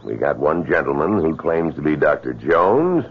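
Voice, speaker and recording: An actor playing a gruff, suspicious army corporal in an old-time radio drama, questioning people in a folksy, deliberate, probing way. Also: male voice, very deep voice, sing-song, full character voice. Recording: muffled, noisy old radio recording.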